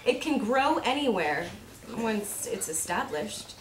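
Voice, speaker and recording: A woman reads reflective prose aloud in a fairly high voice, measured and contemplative. The room is echoey, with faint noise underneath. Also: very animated. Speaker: reader at a readers theater event. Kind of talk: live reading of a reflective prose piece.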